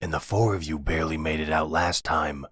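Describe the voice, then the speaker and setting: A man playing a weary, bitter exiled mafia father on the run in an audio roleplay, slow and brooding with a gravelly, husky voice. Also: rumbling low, fairly even intonation, a bit bright, no echo, studio quality, exaggerated voice. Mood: grudging. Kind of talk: ASMR audio roleplay drama.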